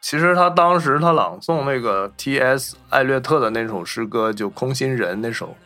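A man's voice: mid-range voice, expressive, moderate pace, sharp tone, soft background music. A man hosting a podcast, casually telling film anecdotes and acting out the remarks he reports. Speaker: podcast host.

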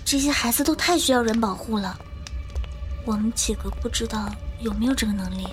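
A woman's voice: a little high, a little fast, faint music behind, natural voice. A woman playing an earnest, anxious young survivor in an audio drama, with emotional, pleading delivery.

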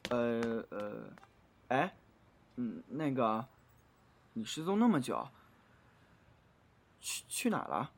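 Actress for boastful bumbling creature, audio drama: deep for a woman, very animated, swaggering, noisy backdrop. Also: slow, long pauses, exaggerated voice. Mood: sheepish. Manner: swaggering, hesitant when questioned. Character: cocky, bumbling creature nicknamed Lord Dog. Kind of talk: Chinese audio drama acting. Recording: clear noise behind the voice.

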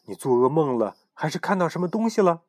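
A man doing a calm, gentle hen. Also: a little high, quick pace, no echo, studio quality, voice pushed up. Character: calm, skeptical caring female hen.

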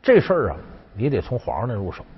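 A wily ancient court official, played by a male storyteller, coaxing and griping in colloquial style. The voice is husky and swings widely in pitch, on a slightly dull recording. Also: wildly swooping pitch, fast, heavily acted. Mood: scheming. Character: wily male Han court official, bluffing, aggrieved schemer.

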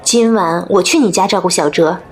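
A woman acting a caring, gently chiding young friend in a radio drama. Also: fairly low pitch, normal speed, lightly acted. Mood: concerned.

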